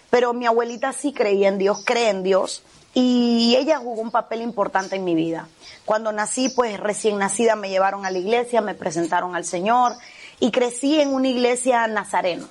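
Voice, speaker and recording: A middle-aged woman pastor from Cuba, with a Cuban Spanish accent, telling her testimony with fervent earnestness in a TV interview. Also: fairly high pitch.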